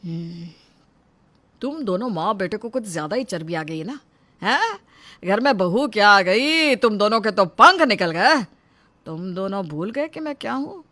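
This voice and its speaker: A woman's voice: medium pitch, lively intonation, normal speed, fairly bright tone. A woman voicing an angry, domineering middle-aged shopkeeper father-in-law in a moral story, scolding and accusing. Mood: menacing. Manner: scolding, accusatory, self-important. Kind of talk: acted dialogue in a moral story.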